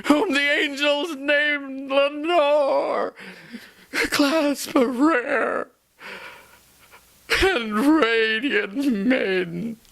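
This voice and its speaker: A man's voice: very high-pitched, very slow, creaky, pauses often, sharp tone, voice pushed up, full character voice. A man playing an anguished, grieving man in a theatrical poetry recitation, desperate, wailing and melodramatic. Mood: anguished pleading.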